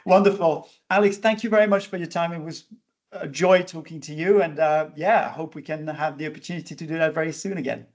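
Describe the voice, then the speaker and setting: A man's voice: a little high, brisk. A man hosting an interview podcast, talking casually with many fillers and restarts. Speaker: podcast host.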